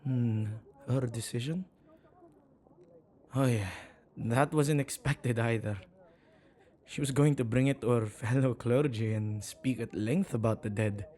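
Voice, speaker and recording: A man playing a flustered, self-neglecting male witch in an ASMR audio roleplay, speaking intimately and haltingly to the listener. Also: mid-range voice, warm tone, natural voice. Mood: uneasy, awed. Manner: hesitant, halting, with sighs and murmured asides.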